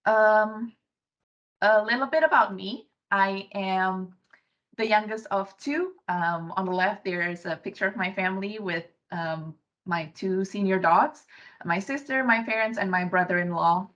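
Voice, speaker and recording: A woman from Indonesia in her thirties, a graduate student intern giving a research presentation on a video call, soft-spoken with many fillers and restarts. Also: medium pitch, measured pace. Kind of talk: virtual poster presentation. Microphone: computer mic on a video call. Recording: dull lo-fi video call audio.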